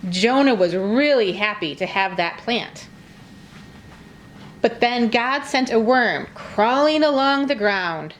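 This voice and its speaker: A woman narrating a scripted story for children in a simple, clearly phrased read-aloud way. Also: a little high, animated, slow pace, slight background noise, poor audio. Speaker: narrator of a children's church puppet show.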